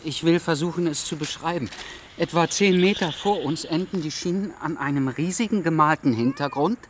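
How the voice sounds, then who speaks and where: An actor playing a pedantic, easily exasperated traveller in a German radio drama, wordy and theatrically bewildered. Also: male voice, a little high, normal speed, a little hiss or hum, close to an everyday voice. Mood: bewildered, precise.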